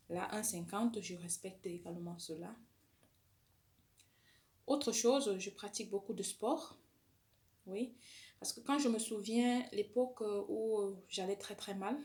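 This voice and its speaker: A woman's voice: medium pitch, darkish tone. Earnest woman sharing her story. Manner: earnest, testimonial, with frequent restarts. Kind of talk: personal vlog monologue.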